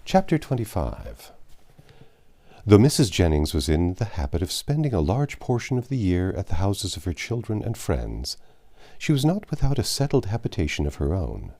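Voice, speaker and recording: A man narrating a classic novel aloud, measured storytelling with occasional slips and restarts. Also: sits low, sing-song, dark tone.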